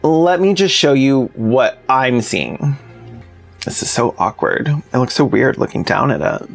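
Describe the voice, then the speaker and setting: A man's voice: medium pitch, animated, a little slow, vocal fry, soft background music. A man narrating a scripted commentary video in a plainspoken, informal way with sardonic asides. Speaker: video essayist, host.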